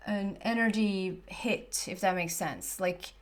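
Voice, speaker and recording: A woman's voice: medium pitch, takes it slowly. Chatty Swedish-accented woman tarot reader. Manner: chatty, searching for words, self-correcting. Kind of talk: casual tarot reading to camera.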